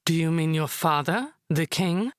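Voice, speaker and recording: A woman playing a proud, resolute high priestess, formal and composed, in a visual novel drama. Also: lively intonation, a little slow, dry recording, clean studio sound. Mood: coolly defiant.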